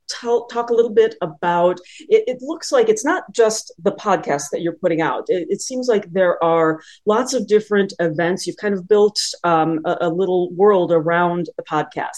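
A woman's voice: mid-range voice, a little mellow. A woman interviewing a guest on a podcast in casual, tentative talk, rephrasing as she goes.

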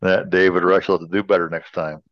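Lo-fi, low-pitched American man joking around. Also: level tone, fast talker, no room sound. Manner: joking, playful asides. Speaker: podcast co-host. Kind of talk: casual chat and informal news retelling.

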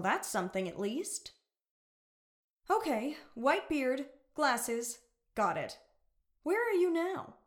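Actress as overprotective mom in a family radio play, high voice, really up and down. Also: female voice. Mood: brisk, businesslike. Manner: sweet and fussing with children. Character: caring, overprotective mother working for police. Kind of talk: scripted family audio drama.

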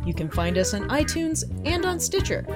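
A woman's voice: sits high, big pitch swings, normal speed, loud music behind the voice. A woman, a podcast co-host speaking casually as she gives announcements. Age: late 30s.

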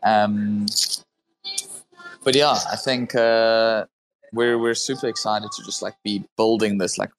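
A man's voice: on the low side, little pitch movement, slow pace, pauses often, poor audio. A man with a South African accent hosting a casual online audio chat, talking loosely with many fillers and restarts. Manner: filler-heavy, restarts often. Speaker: host. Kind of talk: casual Twitter space chat.

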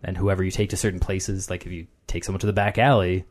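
A man's voice: low-pitched, flat delivery, fast. A man co-hosting a podcast, telling a story with wry jokes in casual chat. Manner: wry, joking, laughs often. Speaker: podcast co-host.

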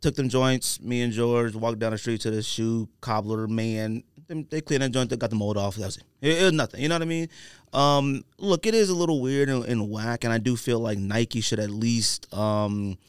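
A man in casual podcast conversation, telling stories in an animated, slangy, conversational way. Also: mid-range voice, normal speed, clean tone, no echo, studio quality. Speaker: podcast host. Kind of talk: casual podcast chat about sneakers.

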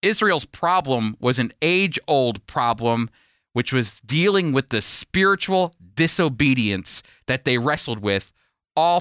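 A man's voice: medium pitch, fairly bright tone, smooth, no echo, studio quality. A man hosting a radio Bible program, teaching in an emphatic, explanatory, preacherly manner.

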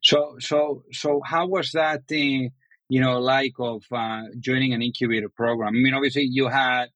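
A man's voice: mid-range voice, fairly even intonation, normal speed, bright, forceful tone, no room sound, poor audio. A man hosting a podcast interview, chatty and full of fillers. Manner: chatty, many fillers like "you know". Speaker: podcast host. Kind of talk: casual interview.

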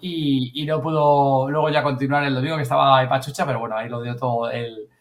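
A man's voice: mid-range voice, fairly even intonation, quick pace. A man co-hosting a podcast in casual, colloquial chat. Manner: informal, colloquial. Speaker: podcast co-host.

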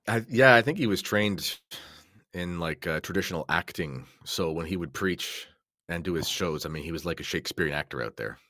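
Low-voiced man commenting casually, dry recording. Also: lively intonation, fairly quick, bright, forceful tone, no echo. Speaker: podcast guest and commentator.

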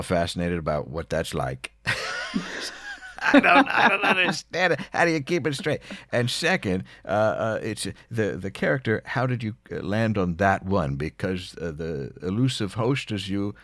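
A man's voice: low-pitched, a bit bright, dry recording, clean studio sound. A man from near Philadelphia interviewing a guest, thoughtful and hesitant with many uhs, laughing readily. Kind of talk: casual interview conversation.

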